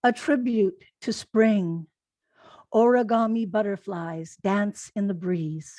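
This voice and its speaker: A woman poet reading haiku aloud in a measured delivery with pauses between the phrases. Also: medium pitch, darkish tone, dry recording. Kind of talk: poetry reading.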